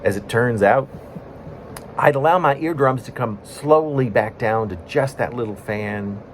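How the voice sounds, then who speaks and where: A man reading his own poem in a conversational storytelling way, breaking it into short phrases with pauses. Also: mid-range voice, slight background noise. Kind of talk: spoken-word poetry reading, conversational storytelling.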